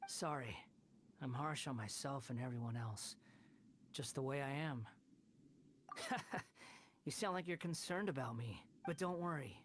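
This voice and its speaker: An actor playing an arrogant, bitter young man with godlike powers in an anime video game, speaking in a haughty, sardonic, sharp-tongued way. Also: mid-range voice, a little slow, pauses often, slight background noise, close to an everyday voice. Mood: subdued, wry. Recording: loud game music behind the voice. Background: loud music.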